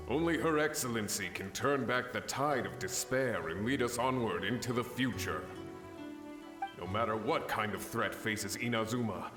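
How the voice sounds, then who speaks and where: A man's voice: medium pitch, close to an everyday voice. A man playing a devoted, brave samurai warrior in an anime game, speaking formally and fervently. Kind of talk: dramatic anime game voice acting. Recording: echoing reverb under game music.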